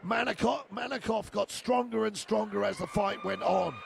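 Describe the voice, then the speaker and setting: An older man giving live fight commentary, excitable and hoarse as he loses his voice, shouting the big moments. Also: sits high, normal speed, noisy background. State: losing his voice. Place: arena.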